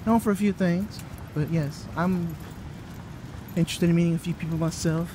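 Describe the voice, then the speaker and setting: Young American male vlogger, casual, slightly high voice, clear ambient noise around him. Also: steady tone, moderate pace. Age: young adult. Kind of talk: casual vlog chat. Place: outdoors and busy convention hall. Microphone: phone at arm's length. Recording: noisy handheld phone, public ambience.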